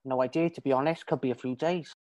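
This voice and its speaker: A woman's voice: extremely low pitch, fairly even intonation, quick pace, darkish tone, dry recording. A woman acting in a drama scene as a cocky, evasive young male drug dealer, dismissive and mocking, with a British accent. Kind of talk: acted scene from a drama showcase.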